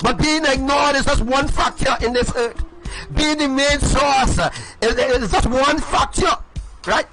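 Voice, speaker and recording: A man with a very high voice preaches on a livestream with fervor. He drives each point home by repeating it and checks in with his listeners again and again. Music plays clearly behind him. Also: unhurried. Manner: emphatic and repetitive, asks the audience "right?".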